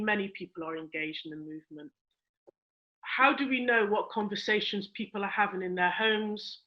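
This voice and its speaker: A woman gives her views on a discussion panel in a sharp, bright voice at a middle pitch. Her delivery is measured and emphatic, speaking without a script. The audio is a little muffled, like an online call.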